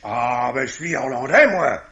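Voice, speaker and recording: Blustering middle-aged Irish dad, forceful voice, swooping intonation, colloquial, dull old radio recording. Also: male voice, mid-range voice, lively intonation, unhurried. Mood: proud, excitable. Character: blustering, good-hearted middle-aged Irish father, proud, pugnacious. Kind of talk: 1950s French radio comedy-drama acting.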